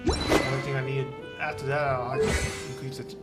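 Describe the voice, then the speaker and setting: A male gaming streamer chats casually while he plays, often repeating and restarting his sentences. His voice sits at an ordinary pitch, and loud game music plays underneath. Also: flat delivery, a little fast. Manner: rambling, with frequent restarts and fillers. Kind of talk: casual gameplay commentary.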